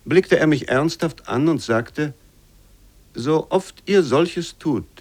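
Slow, solemn male literary reciter. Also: on the high side, animated, darkish tone. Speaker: reader of literary quotations.

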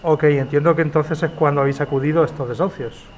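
A man's voice: on the high side, steady tone, quick pace, highs cut off, slight background noise, close to an everyday voice. A man playing a supportive community radio reporter conducting an interview in a radio drama. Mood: engaged, supportive.

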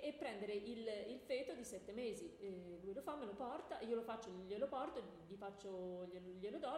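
Actress as a high-voiced strict schoolmistress, echoey stage. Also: female voice, a little mellow, lightly acted. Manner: schoolteacherly, brisk, questioning and grading. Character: strict teacher quizzing pupils on Greek gods, doubling as Hermes. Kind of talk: comic stage dialogue for radio theatre. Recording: very echoey theatre room, faint noise.

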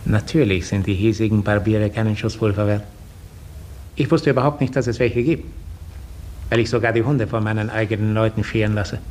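An actor playing a haughty, cruel Russian count who lords it over serfs, imperious and formal, in a 1950s German radio play. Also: male voice, lowish voice, fairly quick, a little mellow, close to an everyday voice. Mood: contemptuous. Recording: faintly noisy 1950s radio recording.

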